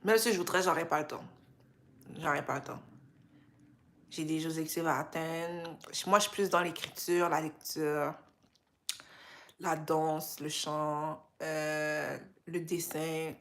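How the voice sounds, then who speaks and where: A young woman with a Québécois French accent chats with her livestream viewers. Her voice sits a little low and sounds tired, and she talks in a relaxed, unhurried way, mixing English phrases into her French. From Canada. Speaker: livestream host. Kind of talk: casual livestream chat with viewers.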